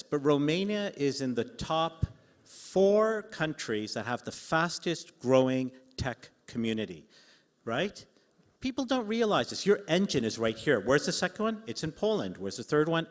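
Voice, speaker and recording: A man's voice: fairly high pitch, sing-song, normal speed. A man speaking live on a conference panel, persuasive and emphatic, in short punchy phrases. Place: large indoor conference hall. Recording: echoey hall sound system.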